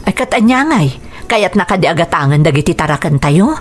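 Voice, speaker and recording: A woman's voice: lowish voice, lively intonation, brisk. A woman with a Filipino accent playing a caring mother of a farming family in an Ilocano radio drama. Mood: curious, hopeful.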